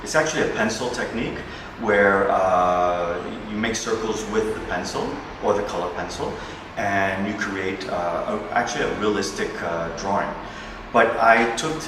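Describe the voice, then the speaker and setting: A man in his fifties from New York with a very low, deep voice walks through his own paintings in a gallery. He talks haltingly, full of pauses and fillers. He sounds a little off-mic in a strongly echoing room, with music playing behind him. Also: recorded from a distance, noisy background. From New York, USA. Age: 50s. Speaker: painter presenting his work. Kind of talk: gallery walk-through talk. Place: indoor art gallery.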